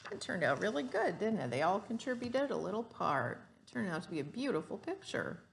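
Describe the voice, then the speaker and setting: A woman's voice: mid-range voice, big pitch swings, a little fast, creaky, slight background noise. A woman reading a story aloud to children like a teacher, adding explanations and questions for the listener. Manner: didactic, asks the listener questions.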